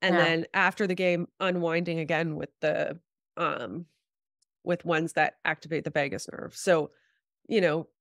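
Low-voiced woman, slightly stuffed up. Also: a bit bright, no room sound, clean studio sound. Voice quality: slightly congested, stuffed-up nose. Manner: informal, frequent "like" and "you know". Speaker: guest health practitioner, chiropractor and acupuncturist. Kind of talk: casual interview explanation. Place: small room at home, video call. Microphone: video call.

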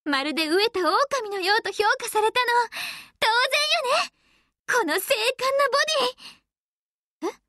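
Anime game role: proud young warship woman craving victory, very high, swooping pitch, theatrical. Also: very animated, normal speed, long pauses, dry recording, studio quality, heavily acted. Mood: indignant. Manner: confident, theatrical, forceful. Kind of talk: anime game character voice lines.

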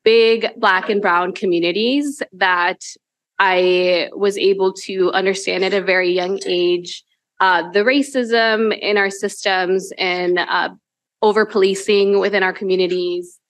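A woman attorney introducing herself on a panel, quick and self-correcting, at a table microphone in a hearing room. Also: mid-range voice, steady tone, dry recording. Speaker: staff attorney. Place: large law school hearing room.

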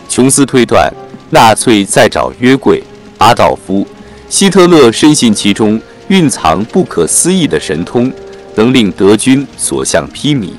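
Low-pitched, animated male narrator. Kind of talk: scripted film plot narration.

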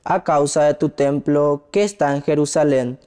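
A man's voice: a little high, steady tone, moderate pace, dark tone, clear, resonant tone. A young man, solemnly reciting a psalm as a reader at Mass. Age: young adult. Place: indoor chapel. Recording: long chapel reverb.

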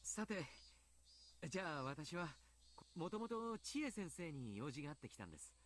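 An actor voicing a mild, polite, teasing doctor in a Japanese visual novel, speaking in a courteous, measured manner. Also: male voice, on the high side, big pitch swings, fairly bright tone, slight background noise. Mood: calm, reassuring. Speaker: doctor and baseball coach character.

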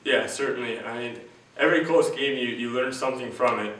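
A young man, a college basketball player, answering postgame interview questions in a plain, earnest, slightly halting way. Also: medium pitch, a bit bright. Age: young adult. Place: small room at a team backdrop. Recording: echoey room, distant mic, faint hiss.

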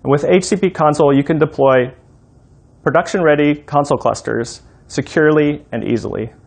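A man in his thirties giving an instructional, step-by-step walkthrough as a software demo presenter. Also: fairly high pitch, a little fast, gravelly. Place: room in a home.

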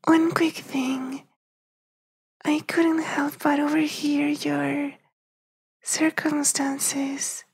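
A man playing a polite, loyal household servant in an ASMR roleplay, formal, deferential and hushed, half whispering. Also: very high voice, creaky, exaggerated voice, low-quality recording.

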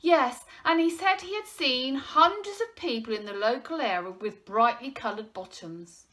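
A woman voicing a grave, easily indignant but friendly male newsagent, emphatic and excitable, in a children's comedy audiobook. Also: sits high, moderate pace, pitched above the performer's own voice. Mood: wounded. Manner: exclaiming, emphatic.

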